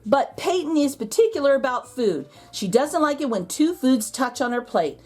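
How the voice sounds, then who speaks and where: A woman's voice: high voice, very animated, normal speed, darkish tone, faint music behind. A woman host reading a children's storybook aloud expressively, drawing out key words for emphasis. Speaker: children's picture book read-aloud host.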